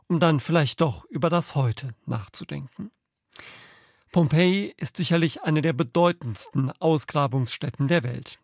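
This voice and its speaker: A man presents a radio programme with scripted links between features. His voice is on the high side with some gravel, recorded very dry and close. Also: no echo.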